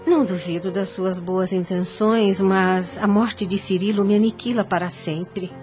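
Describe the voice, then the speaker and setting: An actress playing a young, ailing, grieving mother in a radio soap opera, speaking formally with drawn-out, devout sorrow. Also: mid-range voice, fairly even intonation, darkish tone, natural voice. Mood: sorrowful, weary. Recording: radio drama with music underneath. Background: background music.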